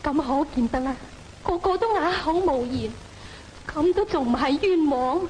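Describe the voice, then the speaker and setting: High-pitched, plaintive accused widow played by an actress. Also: female voice, moderate pace, a little mellow, lightly acted, low-quality recording. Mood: aggrieved pleading. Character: accused young widow, aggrieved, pleading innocence. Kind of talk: old Cantonese opera film acting. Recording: old film soundtrack with hiss.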